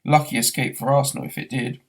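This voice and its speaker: A man's voice: medium pitch, steady tone, quick pace, close to an everyday voice. A man voicing a dignified old pub barman in a storybook, chatty and deferential. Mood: polite, chatty.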